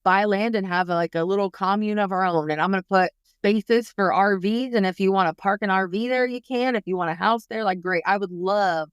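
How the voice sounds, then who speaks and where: Chatty, animated mom podcast host. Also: female voice, mid-range voice, a little fast, hardly any pauses, clear, resonant tone, dry recording, studio quality. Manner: chatty, animated storytelling with slangy asides. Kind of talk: casual podcast chat.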